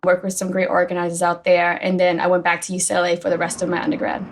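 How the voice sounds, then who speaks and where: A woman's voice: fairly low pitch, completely flat delivery, quick pace, slight background noise. A woman in her thirties from Boston, a guest in a casual interview, talking in a chatty way with lots of "like" and "right?". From Boston, United States. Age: 30s. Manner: chatty, frequent "like" and "right?" tags. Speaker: guest, coffee company founder.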